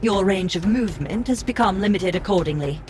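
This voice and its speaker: A man's voice: high-pitched, brisk, pitched above the performer's own voice. A male narrator voicing the impersonal system voice of a video game world, announcing damage and kills. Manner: flat, announcing tone. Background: background music.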